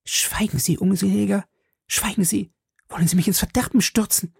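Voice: mid-range voice, animated, normal speed, creaky, mellow, breathy edge, no room sound, studio quality, voice pushed up. A narrator voicing an earnest, anxious young woman with secrets, speaking formally and pleadingly in an audiobook. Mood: alarmed, hushed. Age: young adult. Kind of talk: audiobook character dialogue.

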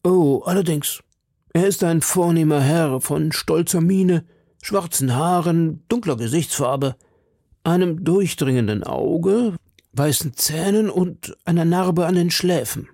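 Man voicing a timid, high-pitched townsman. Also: measured pace, mellow, no room sound, studio quality. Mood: hesitant. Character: timid, deferential middle-aged townsman and landlord, anxious. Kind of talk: audiobook character dialogue.

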